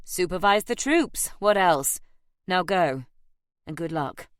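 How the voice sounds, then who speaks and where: A woman voicing an easygoing, supportive and wry gentleman in an audiobook novel. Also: on the low side, animated, slow pace, no echo, studio quality. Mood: wry, encouraging.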